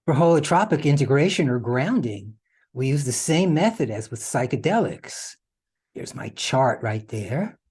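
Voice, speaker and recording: A man's voice: mid-range voice, very animated, a little slow, a little mellow, breathy edge. A man hosting a podcast, explaining things in a casual, conversational way. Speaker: podcast host.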